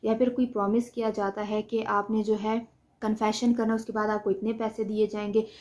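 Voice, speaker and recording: A woman's voice: mid-range voice, little pitch movement, normal speed, a little mellow. A woman with a Pakistani accent giving an explanatory law lecture, didactic, often posing questions and answering them herself. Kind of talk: explanatory lecture mixing Hindi-Urdu with English legal terms.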